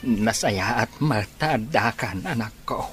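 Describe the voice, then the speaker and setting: A man playing a caring, steady older father and husband in a radio drama. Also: lowish voice, takes it slowly, music in the background. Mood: concerned.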